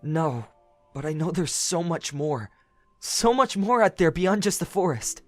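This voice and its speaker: A woman playing a gentle, sheltered young forest man, shy and earnest, in an audio drama. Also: on the low side, animated, unhurried, no echo, faint music behind. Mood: curious, wistful. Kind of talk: fan audio drama acting.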